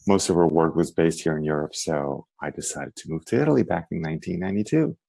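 Man, middle-aged, American accent, very deep, gravelly, easygoing storyteller. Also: expressive, fast talker, mellow. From Venezuela, raised in New York. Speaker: guest, lifestyle blogger. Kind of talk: interview storytelling.

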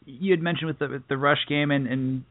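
A man hosting a conversational sports interview, haltingly building long questions and repeating words as he goes. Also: mid-range voice, fairly even intonation, no echo, phone-quality sound.

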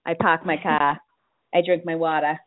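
A woman's voice: low voice, little pitch movement, normal speed, no echo, narrow, tinny audio. A woman from Boston with a Boston accent, hosting a radio show in casual chat, laughing often and restarting her sentences. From Boston, USA.

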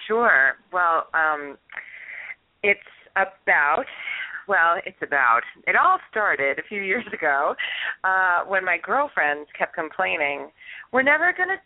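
A woman's voice: fairly low pitch, very animated, slow, no room sound. A woman guest on a radio interview, bubbly and laughing often as she tells a story, acting out what her friends said. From Los Angeles. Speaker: dating expert guest. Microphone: phone call. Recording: tinny phone line.

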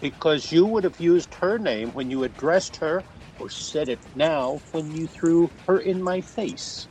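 A man's voice: on the high side, lively intonation, a little slow, darkish tone, a little hiss or hum, low-quality recording. Peevish, grumbling husband played by a man. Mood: impatient, irritable. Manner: curt, peevish. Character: impatient, grumbling husband, quick to snap. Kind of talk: radio drama acting.

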